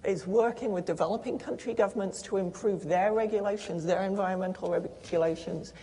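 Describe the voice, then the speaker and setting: A woman's voice: mid-range voice, fairly quick, warm tone, breathy edge. A woman speaking as a panelist. She explains earnestly, with fillers and restarts. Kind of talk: panel discussion answer. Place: conference hall. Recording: long hall reverb.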